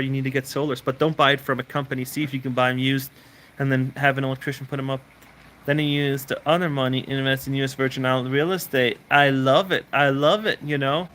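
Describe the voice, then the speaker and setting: A man's voice: medium pitch, fairly bright tone, a little hiss or hum. A man who grew up in Switzerland, hosting a casual live Q&A, rambling and repeating words as he answers viewer questions.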